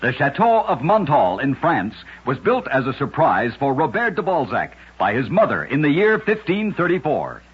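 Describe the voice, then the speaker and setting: A man hosting short radio tales of oddities, reading scripted narration in a measured old-time style with emphatic sign-offs. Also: medium pitch, wildly swooping pitch, slightly rough. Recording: dull, lo-fi old radio broadcast.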